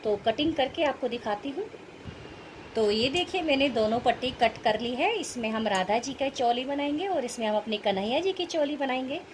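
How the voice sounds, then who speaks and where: A high-voiced woman speaks with a sore throat. She explains things step by step in a casual tutorial manner, as the host of a craft video. Faint noise sits behind her voice in the recording. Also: expressive, normal speed. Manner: explanatory, instructional.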